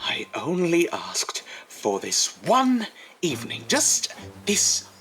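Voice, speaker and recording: An actor playing an anxious, exasperated Edwardian husband, pleading in an audio drama. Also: male voice, on the high side, wildly swooping pitch, slow pace, noisy background, full character voice. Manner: fretful, pleading.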